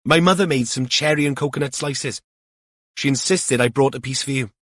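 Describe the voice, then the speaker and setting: A man's voice: medium pitch, a little fast, fairly bright tone, no room sound, studio quality, pitched above the performer's own voice, close to an everyday voice. A man voicing an earnest, rambling young male police officer in an audiobook. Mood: chatty, earnest. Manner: rambling, literal-minded, protesting.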